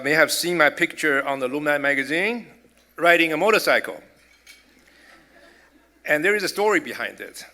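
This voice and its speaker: A middle-aged man from Taiwan with a Taiwanese accent, delivering a formal prepared speech as a college president in a measured, earnest way. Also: a little high, sharp tone.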